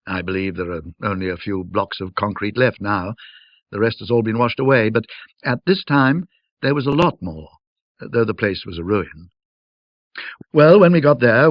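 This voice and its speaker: A man narrating a classic ghost story in a measured, atmospheric, old-fashioned way, with conversational asides. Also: on the low side, no room sound, lo-fi sound. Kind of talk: dramatic scripted storytelling, in an old-fashioned classic audiobook manner.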